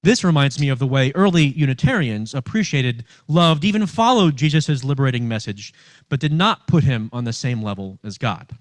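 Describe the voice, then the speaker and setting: A man giving a sermon as a minister, reading from notes in measured phrases with emphasis on key words. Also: medium pitch, animated, dry recording. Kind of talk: sermon read from notes, with long quotations.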